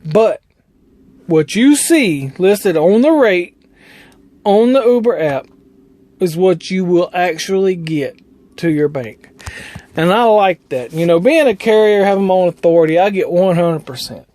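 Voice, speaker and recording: A man's voice: sits high, animated, measured pace, a little mellow, no echo. A man, an owner-operator truck driver talking casually in a plainspoken, meandering way. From United States. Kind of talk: casual vlog talk.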